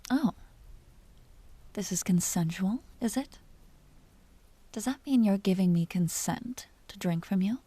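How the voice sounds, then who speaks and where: Breathy, slow-whispering vampire duchess, acted by a woman. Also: medium pitch, mellow, no echo. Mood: hungry, commanding. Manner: slow, teasing, genteel and commanding. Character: seductive, possessive vampire duchess, commanding yet tender. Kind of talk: intimate whispered roleplay audio drama.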